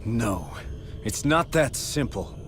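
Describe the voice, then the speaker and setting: An actor playing a principled young hero in a video game, earnest and confrontational. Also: male voice, medium pitch, animated, slow pace, slight background noise. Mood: troubled. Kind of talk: dramatic video game voice acting.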